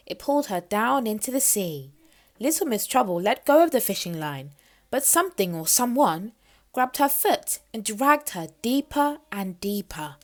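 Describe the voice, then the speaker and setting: A woman reading a children's picture book aloud as an expressive storyteller. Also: mid-range voice, no echo.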